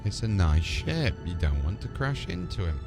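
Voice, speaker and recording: Guy doing live game commentary, lowish voice, very animated pitch, muttering to himself over loud game music. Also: normal speed. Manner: talks to himself, reacting to play. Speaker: video game streamer. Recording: music behind the voice.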